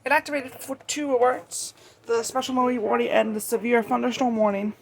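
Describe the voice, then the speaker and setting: A hobbyist talking casually and unscripted in a show-and-tell, rambling and halting, often self-correcting, in an amateur home recording. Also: medium pitch, flat delivery, poor audio. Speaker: hobbyist video creator.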